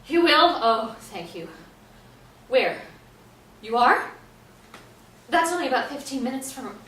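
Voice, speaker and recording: An actress playing an agitated woman playwright who pleads and exclaims haltingly in a staged play reading. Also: high-pitched, animated, a bit bright, exaggerated voice. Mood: urgent, pleading. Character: agitated, anxious woman playwright, talking to herself. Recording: roomy stage, distant mic, faint noise.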